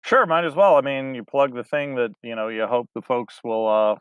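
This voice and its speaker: A man's voice: medium pitch, moderate pace, smooth, no echo, phone-quality sound. A man, a theater director, chatting as an interview guest, wandering through his answers with lots of fillers and restarts. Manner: rambling, many fillers and restarts. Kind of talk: casual interview chat.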